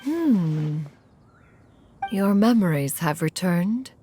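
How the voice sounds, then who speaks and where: A woman voicing an aloof, dignified and wise immortal in a video game, with formal, measured speech. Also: fairly low pitch, animated, warm tone, soft background music. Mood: composed, compassionate. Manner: formal, measured, stately, calls herself "one". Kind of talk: dramatic video game voice acting.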